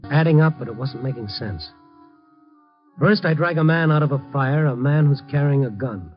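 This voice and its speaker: Actor as hard-boiled American investigator in radio drama. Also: male voice, fairly high pitch, moderate pace, over music, lightly acted. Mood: tense, reflective. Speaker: lead actor and narrator. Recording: dull lo-fi vintage radio recording.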